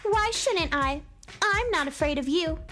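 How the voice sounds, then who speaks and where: A woman's voice: very high-pitched, lively intonation, unhurried, music in the background. A woman playing an earnest, perky little girl space heroine in a children's radio comedy, exclaiming with indignation. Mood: defiant. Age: child figure.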